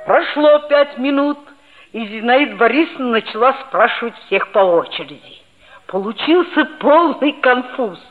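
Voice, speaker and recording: An actress playing an earnest, mischievous schoolboy who narrates a radio play in a mock-serious, expressive way. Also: female voice, a little high, moderate pace, natural voice. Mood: wry storytelling. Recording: tinny vintage 1960s radio recording.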